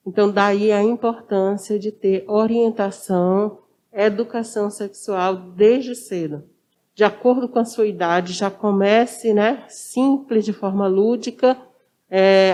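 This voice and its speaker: A woman's voice: medium pitch, darkish tone. A woman speaking as an interview guest, explaining didactically and repeating phrases for emphasis. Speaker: interview guest, social worker.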